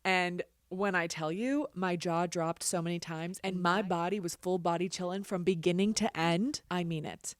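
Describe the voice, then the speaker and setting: A woman hosting a podcast, her voice hoarse from getting sick, chatting casually and laughing often. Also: lowish voice, fairly even intonation, moderate pace, few pauses. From Ohio, US. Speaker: podcast host.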